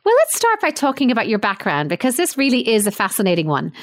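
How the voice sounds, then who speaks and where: A woman hosting an interview podcast, reading scripted introductions and asking lively questions. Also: on the high side, fast talker, no echo, studio quality. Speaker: podcast host.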